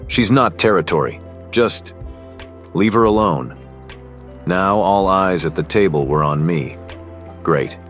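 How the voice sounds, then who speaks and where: A man playing a cocky rich high school boy who is quietly smitten, narrating and speaking in a teen romance drama. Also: takes it slowly, long pauses, soft background music. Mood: brooding, reflective. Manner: smug, cool, guarded. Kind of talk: first-person narration and dialogue in a teen romance.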